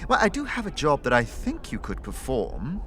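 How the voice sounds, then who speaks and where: Casting: male actor as a bright-voiced, nervous mage for hire, secretive and courteous, wildly animated pitch, audio drama. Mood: anxious, pleading. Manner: hesitant, halting, effusive. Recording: faint background noise.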